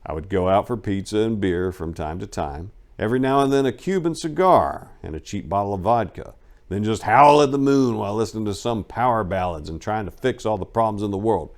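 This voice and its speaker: A man narrates a first-person life story in a low-pitched voice. His reading is dramatic and expressive. He leans hard on some phrases and drops softer on others, as a storyteller would. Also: brisk.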